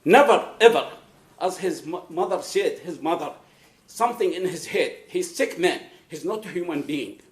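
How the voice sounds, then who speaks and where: A man's voice: on the high side, lively intonation, moderate pace. A man pleading to the court in a raised, impassioned manner. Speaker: speaker giving a victim statement. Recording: echoey courtroom.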